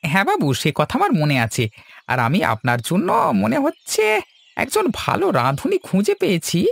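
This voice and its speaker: A man's voice: high-pitched, huge pitch swings, fairly quick, gravelly, a bit bright, dry recording, heavily acted. A man voicing a scheming, deferential village servant in a cartoon, wheedling his master. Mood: deferential, confiding. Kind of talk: Bengali cartoon voice acting. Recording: plucked-string music behind the voice.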